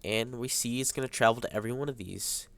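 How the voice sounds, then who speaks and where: A young man with a stuffy nose, a high school student hesitantly explaining a networking lab over a screen recording. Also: fast talker, creaky, a bit bright, no echo. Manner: hesitant, many ums and uhs. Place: at a computer, voice over screen capture.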